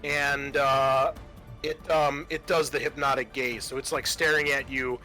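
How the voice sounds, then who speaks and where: A man with a bright, forward voice runs a tabletop roleplaying game as game master. He talks casually and loosely, describing moves and rulings, with faint music playing behind him. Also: medium pitch, level tone, measured pace, rough recording.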